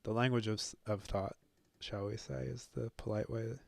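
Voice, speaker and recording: A young man with a low, gravelly voice talks slowly, musing out loud as he works through a new alphabet. He stops often on fillers and jokes at his own expense, and the sound is dry and close with no room around it. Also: fairly even intonation, no echo. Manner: musing, self-deprecating, halting with many fillers. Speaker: language-learning video host. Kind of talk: thinking aloud while self-studying.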